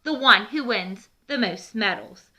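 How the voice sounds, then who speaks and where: A woman's voice: on the high side, expressive, unhurried, bright, forceful tone, full character voice. A woman voicing a vain, competitive female turkey in a storybook, speaking assertively. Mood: keen. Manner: assertive, insistent.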